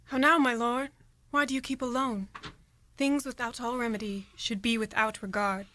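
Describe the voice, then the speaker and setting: A young woman playing a resolute, coaxing queen in a Shakespearean radio play, measured and theatrical. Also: fairly high pitch, fairly even intonation, sharp tone, a little hiss or hum. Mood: coaxing, concerned. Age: young adult.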